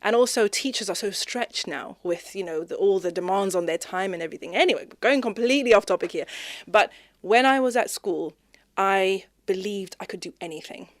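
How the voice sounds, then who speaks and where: A woman from Zimbabwe, a podcast guest talking expressively in a conversational interview, speeding up and rising in pitch when animated. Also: a little fast, no room sound.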